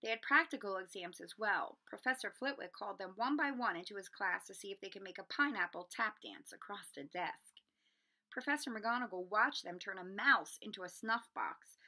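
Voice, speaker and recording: A woman reading a children's novel aloud as an expressive storyteller. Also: mid-range voice, moderate pace. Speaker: storybook reader.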